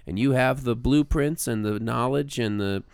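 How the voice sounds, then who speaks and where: A man's voice: mid-range voice, moderate pace, creaky, a little mellow, clean tone, no echo, clean studio sound. A man co-hosting a podcast like a teacher, chatting casually and emphatically, acting out imagined remarks. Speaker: podcast co-host and music teacher.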